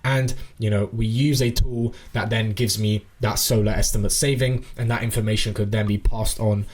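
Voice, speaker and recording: Casual man walking through software. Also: on the low side, steady tone, moderate pace. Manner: informal, explanatory, thinking aloud. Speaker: tutorial presenter.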